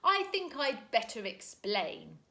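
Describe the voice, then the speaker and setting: A woman reading aloud, voicing a loud, cheerful older uncle who is given to laughter. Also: big pitch swings, creaky, heavily acted. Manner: booming, slow and jovial. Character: jolly round old uncle, loud and cheery.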